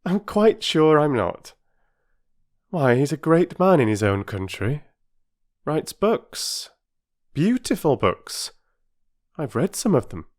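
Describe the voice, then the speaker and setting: A man doing a bright, reassuring mother. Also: a little high, sing-song, takes it slowly, long pauses, pitched above the performer's own voice. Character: kind, bright-mannered mother, middle-aged woman.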